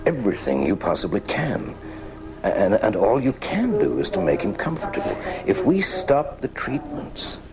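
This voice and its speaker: A man's voice: on the low side, poor audio. A man playing a grave, compassionate doctor in a 1980s live radio drama, heard on a home recording of the broadcast.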